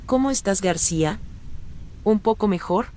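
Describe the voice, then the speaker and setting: An actor with an Argentine accent playing an inquisitive, practical police officer in a radio drama. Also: male voice, sits high, lively intonation, normal speed, warm tone, slight background noise. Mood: concerned.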